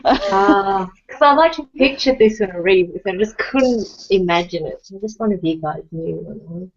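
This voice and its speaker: A woman's voice: medium pitch, animated, normal speed. A woman with an Australian accent chatting casually in a curious, questioning way. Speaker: book discussion participant. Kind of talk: casual chat. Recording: dull, lo-fi internet call sound.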